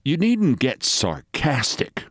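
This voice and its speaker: A man's voice: medium pitch, huge pitch swings, slow, no room sound, clean studio sound, voice pushed up, heavily acted. A man voicing a petulant, henpecked middle-aged husband who stands on his dignity. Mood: peevish.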